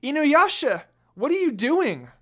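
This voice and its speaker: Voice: high-pitched, sing-song, measured pace, sharp tone, pitched above the performer's own voice, heavily acted. A storyteller voicing an earnest, easily frightened and confused young woman in a fan fiction reading. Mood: alarmed, frightened.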